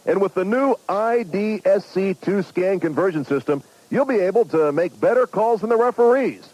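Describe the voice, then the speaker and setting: A man reading a product commercial for the radio with an enthusiastic pitch. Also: expressive, moderate pace, darkish tone, muffled recording. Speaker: commercial voice-over. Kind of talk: scripted ad read.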